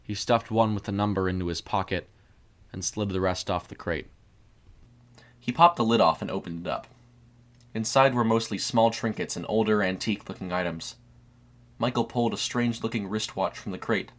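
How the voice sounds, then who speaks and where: Male narrator, lowish pitch, scripted audio fiction, third-person storytelling. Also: moderate pace.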